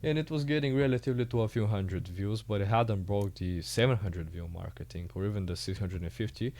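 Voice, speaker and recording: A man with a Bulgarian accent hosts a podcast in English, his native tongue being Bulgarian. His voice is low and mellow. He talks casually and hesitantly, stopping often on "uh" as he gathers his thoughts. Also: brisk. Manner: hesitant, many "uh" fillers. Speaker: podcast host.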